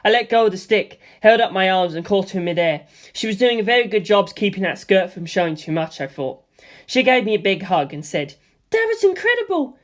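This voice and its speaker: A reader giving a casual, lively first-person audiobook narration with offhand asides. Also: medium pitch, a little fast.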